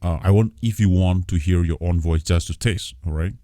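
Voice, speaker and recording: A man with a very low, dark voice presents a casual software walkthrough. He is a non-native English speaker who explains each step haltingly and often repeats himself. The sound is dry, with very little room in it. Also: no room sound. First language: not English. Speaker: tutorial host.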